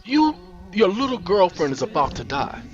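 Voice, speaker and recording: A man's voice: high-pitched, sing-song, normal speed, faint music behind, pitched above the performer's own voice. Guy doing a nasty bullying gang boss, raised voice jumping all over, threatening, muffled recording. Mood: raging, boastful. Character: violent, menacing male gang boss, quick to rage.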